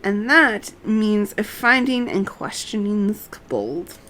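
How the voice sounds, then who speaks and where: A woman voicing an earnest, bookish young male scholar from a fantasy game in a formal, measured manner. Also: mid-range voice, expressive, pushed and bright, slight background noise. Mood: earnest, pondering.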